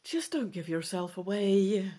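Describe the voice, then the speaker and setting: A woman's voice: medium pitch, expressive, unhurried, mellow. A woman voicing a stern, teasing two-hundred-year-old female elf tutor in a dramatic reading of a fantasy gamebook. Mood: reassuring. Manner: whispering sharply at times, admonishing.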